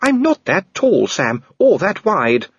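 A man voicing a kindly aristocratic lady who speaks in a high, gentle, proper way. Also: huge pitch swings, slow pace, breathy edge, dry recording, dull audio, heavily acted. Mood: fond.

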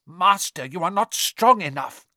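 A man voicing a nervous, impatient villain who serves a dark master, snapping urgently in an audiobook. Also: fairly high pitch, wildly swooping pitch, a little slow, no room sound, studio quality, heavily acted. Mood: fretful, pleading. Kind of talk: dramatic audiobook character voice.

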